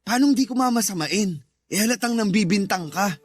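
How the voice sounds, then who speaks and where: A man's voice: high-pitched, brisk, soft background music, voice pushed up. A man playing a callous, irritable husband in a Tagalog radio drama, curt and dismissive. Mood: defensive, irritated. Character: callous, irritable husband who resents his stepchild.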